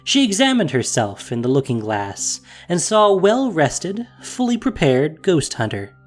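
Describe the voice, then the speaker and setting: A man narrating a fantasy audio drama with expressive storytelling. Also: fairly high pitch, a little slow, faint music behind.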